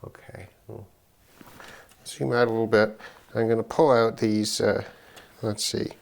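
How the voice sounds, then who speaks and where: Slow-talking, musing man at a workbench. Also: lowish voice, little pitch movement, vocal fry. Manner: halting, musing, thinking aloud. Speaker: electronics hobbyist presenter. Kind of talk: unscripted teardown commentary.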